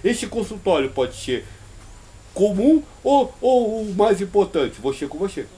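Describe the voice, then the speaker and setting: High-pitched, animated Brazilian man teaching emphatically. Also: a little slow. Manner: emphatic, repeats phrases, uses rhetorical questions. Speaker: spiritual teacher leading a group discussion. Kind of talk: informal spiritual talk answering questions.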